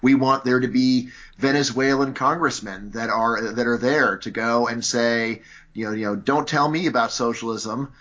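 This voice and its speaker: A man's voice: medium pitch, fairly even intonation, moderate pace, fairly bright tone, lo-fi sound. A man speaking as a guest in a conversational interview, arguing his views at length and leaning on "you know" and "like". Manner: argumentative, lots of "you know" fillers.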